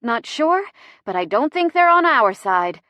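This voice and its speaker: A harried young woman at the wheel, acted by a female narrator in an audiobook. She speaks in a raised pitch, urgent, defensive and exasperated, with a dry, close sound. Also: very animated, unhurried, bright, forceful tone, dry recording, full character voice. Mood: tense, urgent.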